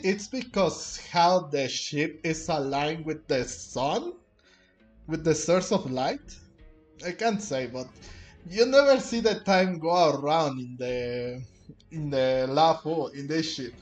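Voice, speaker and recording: Slow-talking man commenting on a game. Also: fairly high pitch. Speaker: gameplay streamer. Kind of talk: casual game commentary with reactions.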